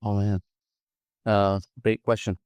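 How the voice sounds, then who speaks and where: A man's voice: fairly low pitch, level tone, slow, a little mellow, clean tone, no echo. A middle-aged man from Iraq, a guest answering interview questions in a hesitant way, with many fillers and restarts. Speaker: guest, analytics professional.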